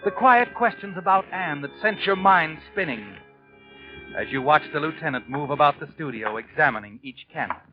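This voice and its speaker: A sinister, all-knowing mystery storyteller played by a man taunts the guilty with dramatic swoops of a slightly high voice. It is 1940s radio suspense, on a dull vintage recording with music behind. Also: expressive, moderate pace, close to an everyday voice. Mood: ominous. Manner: taunting, dramatic second-person address.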